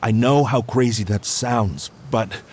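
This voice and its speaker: A man voicing a bewildered, weary young male soldier in a video game, hesitant and husky. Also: fairly low pitch, a little slow, darkish tone, slight background noise, lightly acted. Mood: uneasy. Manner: hesitant, sighing.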